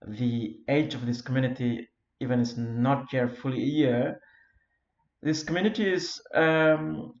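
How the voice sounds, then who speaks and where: A man from Flores in eastern Indonesia with an Indonesian accent, giving a simple self-made lecture in English, often pausing with fillers and repeated words. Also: medium pitch. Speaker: founder of an English learning community. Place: Europe. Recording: roomy, dull lo-fi recording.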